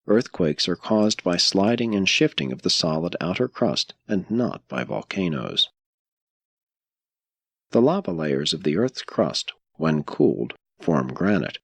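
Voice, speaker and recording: A man reading scripted audiobook narration in a measured, solemn and formal manner. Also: deep voice, big pitch swings, a bit bright, slightly rough, no room sound.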